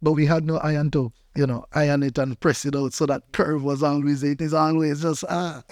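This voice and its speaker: A man's voice: fairly high pitch, level tone, a little fast, a little mellow, no room sound, clean studio sound. A man from Belize with a Belizean accent, a pastor telling personal stories as a podcast guest, emphatically repeating phrases for effect. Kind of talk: personal storytelling in conversation.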